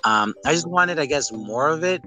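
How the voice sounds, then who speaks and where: A man in a casual, rambling podcast-style chat reviewing a film, with animated retellings. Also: fairly high pitch, normal speed, faint music behind. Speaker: podcast host.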